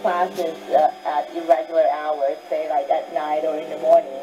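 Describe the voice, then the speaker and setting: A woman's voice: low voice, little pitch movement, moderate pace, mellow, dull audio. Woman on shortwave radio, music behind. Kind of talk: radio talk.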